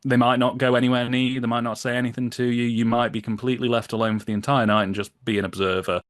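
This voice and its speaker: A man talks as a podcast guest in an easy, conversational style. His voice sits in the middle range and the sound is dry. He explains and tells stories with an amused, animated delivery. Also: medium pitch, quick pace, dry recording. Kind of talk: casual conversational commentary.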